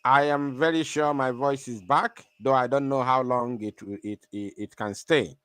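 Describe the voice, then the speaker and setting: A man's voice: mid-range voice, fairly even intonation, unhurried, a bit bright, smooth, no echo. A man hosting an online stream, talking in a defiant, taunting way, laughing often and repeating his phrases. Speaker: online show host. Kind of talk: animated live-stream monologue.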